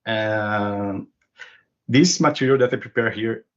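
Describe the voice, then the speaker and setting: A man from Brazil giving a technical lecture over a video call in a relaxed, loosely phrased way. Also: on the low side, slow. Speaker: guest lecturer. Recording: dull lo-fi web call audio.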